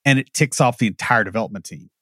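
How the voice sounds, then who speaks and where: A man's voice: on the low side, fast talker, bright, forceful tone, husky, dry recording, clean studio sound. A man co-hosting a podcast, giving blunt, wry opinions and anecdotes. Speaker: podcast co-host. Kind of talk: casual opinionated chat.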